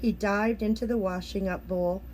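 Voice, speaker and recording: A woman reading a children's picture book aloud as an expressive storyteller. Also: medium pitch, normal speed, a little hiss or hum, rough recording. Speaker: storybook reader.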